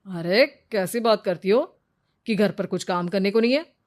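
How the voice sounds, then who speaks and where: A woman's voice: mid-range voice, unhurried, voice pushed down, close to an everyday voice. A woman voicing a nagging, bossy young husband in a story, scolding and sarcastic.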